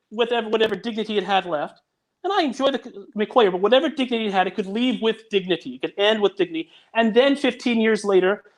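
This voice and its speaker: A man who makes audio dramas, chatting on a video call in an earnest way and often restarting his sentences. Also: high voice. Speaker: audio drama producer and guest. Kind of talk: casual podcast chat.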